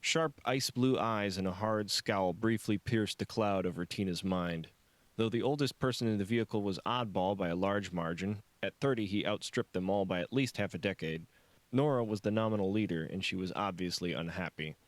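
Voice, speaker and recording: Low-pitched man narrating an audiobook, dry sound. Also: moderate pace, dry recording. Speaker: author reading own audiobook. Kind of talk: scripted narration of a novel.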